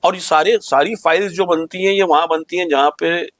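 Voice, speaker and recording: A man's voice: on the high side, fairly even intonation, brisk, fairly bright tone. A man with an Indian accent hosting an opinionated podcast discussion, speaking emphatically with rhetorical questions and slipping into English. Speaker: podcast host.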